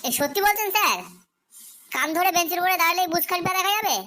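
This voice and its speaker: Silly cartoon schoolboy: squeaky high pitch, very quick tongue, cheeky literal-minded comebacks. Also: pushed and bright. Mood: innocent cheek. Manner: cheeky, playful backtalk. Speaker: pupil answering a teacher. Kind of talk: comedy cartoon dialogue.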